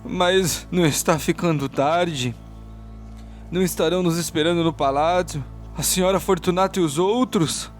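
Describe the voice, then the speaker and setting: Man playing a high-pitched, desperate drunken nobleman. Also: moderate pace, faint music behind, close to an everyday voice. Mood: desperate false mirth. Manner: sad, disoriented, feigning mirth. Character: drunken nobleman walled up alive, laughing weakly and pleading. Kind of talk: dramatised gothic horror storytelling.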